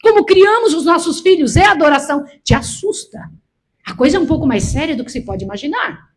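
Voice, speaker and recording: A woman's voice: very high voice, a bit bright. A sixty-year-old Brazilian woman preaching a sermon, emphatic and repetitive, urging her listeners with direct commands. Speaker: preacher, missionary. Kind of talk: sermon to a church congregation.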